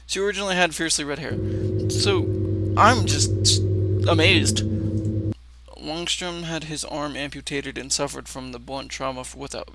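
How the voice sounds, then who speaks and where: A man with a fairly high voice gives casual reaction commentary over heavy background noise. He reads text aloud, stumbles, repeats himself and drops in swearing as he comments. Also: steady tone, moderate pace. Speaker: reaction video host. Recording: clearly noisy, loud background rumble.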